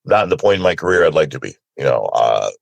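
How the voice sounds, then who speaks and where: A man with a very low, creaky voice that has a husky edge. He speaks casually and candidly, as a guest on a panel, in an informal conversational way. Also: very animated, fast, no room sound. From Sri Lanka, moved to America as a child. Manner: informal and candid, often checks in with "you know". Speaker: panelist, voice actor.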